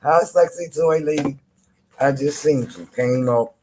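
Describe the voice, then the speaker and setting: A man with a deep voice, hosting a live chat room and teasing rivals in loose, playful banter with frequent laughter. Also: measured pace, noisy background. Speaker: live audio-room host. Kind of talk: casual trash-talking chat to listeners.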